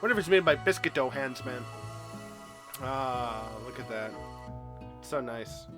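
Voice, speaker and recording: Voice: mid-range voice, lively intonation, unhurried, music in the background. A let's-play host who sounds stuffed up from a cold, chatting casually through a game on a headset mic at home. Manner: chatty, reads on-screen text aloud with asides. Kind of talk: casual gameplay commentary. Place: home gaming setup.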